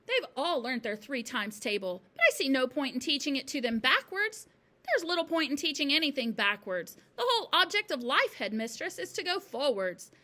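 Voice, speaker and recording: Lady doing a brave young teacher in a kids story, polite but firm, high bright voice. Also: very animated, normal speed, heavily acted.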